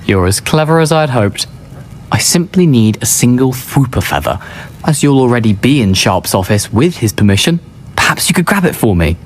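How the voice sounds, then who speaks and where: A man's voice: mid-range voice, sing-song, moderate pace, slight background noise, close to an everyday voice. A man voicing a cheeky, persuasive teenage schoolboy in a video game, eager and coaxing, with a British accent. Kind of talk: video game character acting.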